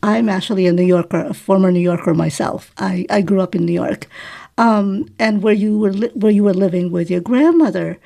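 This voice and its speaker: A woman's voice: mid-range voice, fairly quick, vocal fry, dark tone. A woman hosting a podcast who asks her guest questions and often murmurs encouragement while she listens. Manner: encouraging, with frequent backchannel murmurs. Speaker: podcast host. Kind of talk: interviewing a guest.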